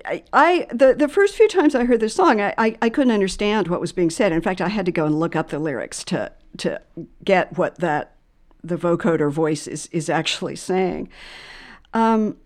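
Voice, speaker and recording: A woman with a slightly low voice hosts a reflective conversation about music. She is thoughtful and halting, often doubling back on words and repeating phrases as she works out what to say. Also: expressive, darkish tone. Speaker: podcast host.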